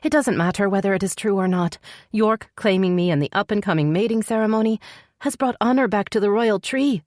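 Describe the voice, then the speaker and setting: A woman voicing a tearful young supernatural princess in a romance audiobook, soft and shaky, pleading sorrowfully yet resolute. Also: normal speed, no echo, studio quality, natural voice. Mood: pained, resolute. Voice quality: soft, shaky, higher-pitched voice. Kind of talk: dramatic romance audiobook dialogue.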